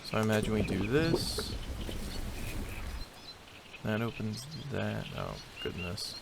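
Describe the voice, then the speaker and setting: A man's voice: fairly low pitch, lively intonation, takes it slowly, creaky, noisy background. Rambling man commentating a game. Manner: rambling, thinks aloud, restarts sentences. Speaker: gameplay commentator. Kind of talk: casual let's play commentary.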